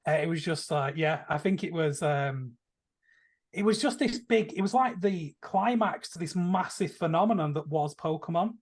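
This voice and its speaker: A man's voice: fairly high pitch, lively intonation, normal speed. A man giving his opinions in a casual film and TV podcast chat. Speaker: podcast panelist.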